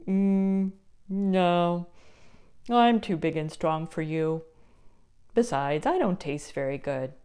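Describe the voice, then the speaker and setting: A big old billy goat, played by a woman, talks in a lowered voice. He is firm, calm and sure of himself in this puppet-show tale. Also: slow pace, pauses often, smooth. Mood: confident. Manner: firm, unbothered.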